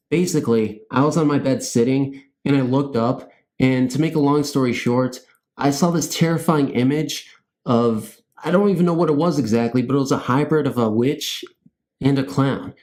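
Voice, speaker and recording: A man's voice: medium pitch, warm tone. A man hosting a channel, chatting casually to his viewers in a goofy, self-mocking, rambling way. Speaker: host of a scary story channel.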